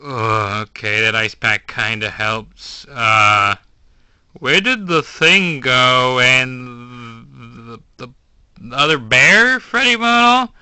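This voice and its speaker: A tired male pizzeria boss with a low, groggy voice, mumbling slowly as if still half numb, as a man puts him on. The tone stays bright and sits close and dry. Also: smooth, dry recording. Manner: slow, dazed and mumbling.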